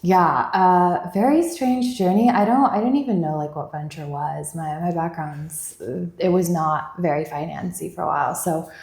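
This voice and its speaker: Gravelly low-voiced woman in an echoey room. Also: moderate pace, few pauses, a little mellow. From Bulgaria. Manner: chatty, rambling, many "like" fillers. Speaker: venture investor guest. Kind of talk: casual interview chat.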